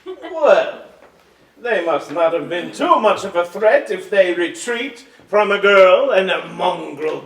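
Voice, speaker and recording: A man's voice: high voice, gravelly, full character voice. A man playing a wry, fond, commanding king in a musical stage reading, with a stately, formal manner. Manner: stately, measured, formal wording. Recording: echoey stage hall, far microphone.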